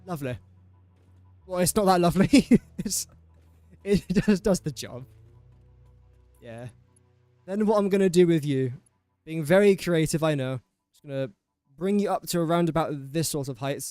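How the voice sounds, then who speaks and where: A young English man with a slightly high voice and a dry, close microphone sound. He chats casually through a live game stream, teasing himself and talking to the objects he places. Soft game music sits far in the background. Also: moderate pace, long pauses, dry recording. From England. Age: young adult. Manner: self-deprecating, talks to the objects he places. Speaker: gaming streamer. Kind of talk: casual live gameplay commentary.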